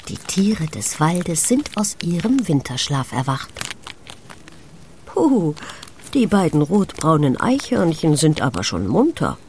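A woman with a low voice narrates a children's nature story. Her delivery is slow and full of wonder, with very lively swings in intonation as she addresses young listeners. Birdsong is audible behind her. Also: a little hiss or hum. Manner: expressive, hushed and wondering, addressing a small child. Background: forest sounds with chirping birds.

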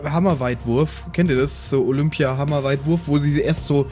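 A man's voice: a little high, steady tone, a little fast, mellow. A man casually chatting and joking as a player in a tabletop role-playing game. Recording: music playing behind the voice. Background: background music.

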